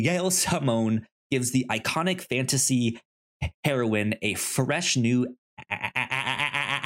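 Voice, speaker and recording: A man with a mid-pitched voice co-hosts a casual podcast. He stammers often, repeating the first sound of a word before he gets it out. His delivery is conversational, moving between chat and reading aloud. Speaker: podcast co-host.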